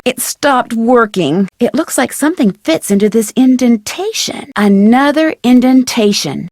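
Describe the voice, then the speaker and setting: A woman voicing a curious, plucky young female amateur detective in a video game, thinking aloud in an inquisitive, expressive way. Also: on the high side, no room sound, studio quality, heavily acted. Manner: inquisitive, musing to herself, crisply expressive.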